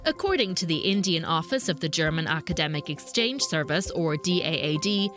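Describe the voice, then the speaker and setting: A woman reporter narrating a business news documentary in a measured, explanatory way. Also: low-pitched, expressive, a bit bright. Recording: voice-over with music bed.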